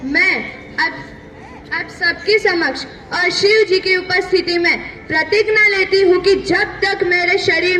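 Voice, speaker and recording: A woman's voice: very high voice, bright, forceful tone, recorded from a distance, slight background noise, full character voice, poor audio. A woman playing a proud, resolute widowed Maratha warrior queen in a theatrical, declamatory, earnest monologue. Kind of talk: theatrical one-person stage monologue.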